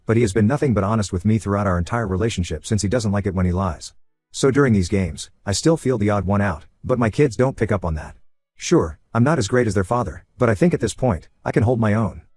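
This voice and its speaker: A man reading a first-person online story aloud as scripted narration, in a quick, even storytelling manner. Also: lowish voice. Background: faint background music.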